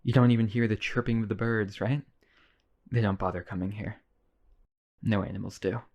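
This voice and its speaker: Man acting a low-voiced, clingy vampire brother. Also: steady tone, moderate pace, a little mellow, dull audio. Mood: wistful. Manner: coaxing, clingy, polite. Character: lonely, obsessive, wealthy male vampire brother, eager host. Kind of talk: boyfriend ASMR roleplay acting.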